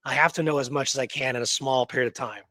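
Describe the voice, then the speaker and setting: A man co-hosting a radio show, talking casually and excitedly in an informal, rambling way. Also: medium pitch, quick pace, no room sound. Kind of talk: casual enthusiastic discussion.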